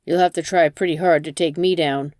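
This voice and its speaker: A woman's voice: sits low, fairly even intonation, brisk, darkish tone, no room sound, clean studio sound, natural voice. A woman narrator voicing a casual male driver who talks in a reassuring way, in an audiobook. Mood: cocky, casual. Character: easygoing male driver, cocky, turning nervous.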